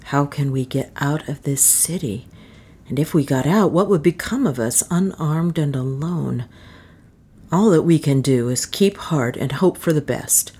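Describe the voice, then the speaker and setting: A woman's voice: sits low, unhurried, warm tone, slightly rough. A woman voicing a grim, stoic male adventurer in an audiobook, gloomy, cool and firm. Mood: gloomy, resolute. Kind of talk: audiobook character acting.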